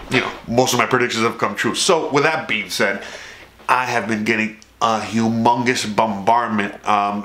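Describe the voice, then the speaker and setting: A man's voice: mid-range voice, pushed and bright, echoey room. A man from South Florida with a Cuban American accent, hosting his own channel in a rambling, profane monologue full of "okay?" asides. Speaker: YouTube channel host. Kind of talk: casual profane monologue to camera.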